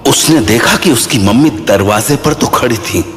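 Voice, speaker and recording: A man's voice: medium pitch, animated, moderate pace. A man narrating a scripted horror story as the storyteller. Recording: long reverb, music behind. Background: background music.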